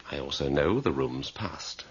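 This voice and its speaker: Very deep, husky, sceptical gentleman. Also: expressive, a little slow, full character voice. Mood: cocky, dismissive. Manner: polite, dry, theatrical. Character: cocky, sceptical gentleman, politely mercenary. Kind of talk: radio drama acting. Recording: dull old radio recording.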